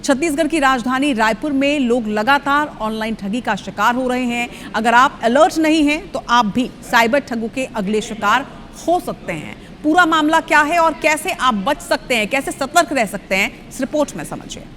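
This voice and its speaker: High-voiced woman news anchor with long reverb. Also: animated, moderate pace, a bit bright, slight background noise. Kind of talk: scripted news introduction. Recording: long reverb, music underneath.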